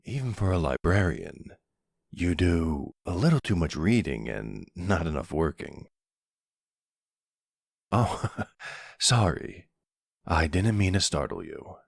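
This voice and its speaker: Man playing a gravelly, very low-voiced mafia boss. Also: no room sound, studio quality, full character voice.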